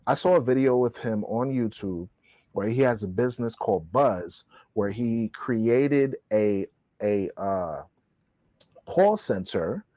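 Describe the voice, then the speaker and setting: A man argues with fervent conviction, like a preacher making a point. His voice is mid-pitched and the recording is dry and close. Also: dark tone, dry recording. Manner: emphatic, rhetorical, quotes scripture. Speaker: podcast co-host. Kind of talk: impassioned discussion, preacher-like.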